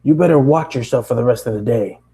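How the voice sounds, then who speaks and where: A man's voice: medium pitch, fast, gravelly, dark tone, slightly rough. A man voicing an angry, bullying middle-aged male store boss in a blustering, barking way for a story reading. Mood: threatening.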